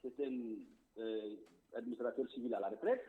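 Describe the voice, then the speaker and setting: A man's voice: medium pitch, moderate pace. A man calls in to a political radio discussion and speaks his mind plainly and emphatically. His voice sits in an ordinary middle register and comes through a thin, tinny phone line. He sometimes circles back over his own words while he finds the next point. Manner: outspoken, emphatic, repeats words while thinking. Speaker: diaspora representative guest.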